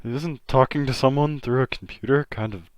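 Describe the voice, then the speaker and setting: A man's voice: mid-range voice, normal speed, dry recording, natural voice. A man playing a worried, caring father in a comic dub, speaking earnestly and a little hesitantly. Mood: awkward, hesitant.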